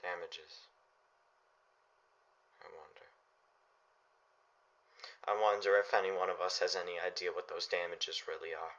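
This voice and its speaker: A man playing a disillusioned middle-aged householder in a radio play, brooding and halting. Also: deep voice, level tone, a bit bright. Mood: shaken, brooding. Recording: dull lo-fi audio.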